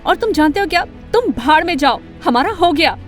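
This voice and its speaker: A woman voicing an earnest, emotional teenage girl who narrates and acts out her animated story dramatically. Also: extremely high pitch, fairly quick, soft background music. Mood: hurt, indignant. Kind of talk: first-person animated story narration and dialogue.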